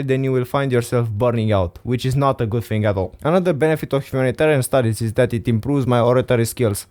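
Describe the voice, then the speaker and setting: A man's voice: medium pitch, darkish tone. A teenage boy from Romania, speaking English as a second language, earnestly giving career advice to viewers in a casual vlog. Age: teens. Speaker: vlogger. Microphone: studio XLR mic.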